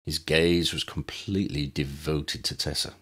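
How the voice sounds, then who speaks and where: A man's voice: very deep voice, steady tone, normal speed, fairly bright tone, breathy edge. A man reading a scripted story as an audiobook narrator.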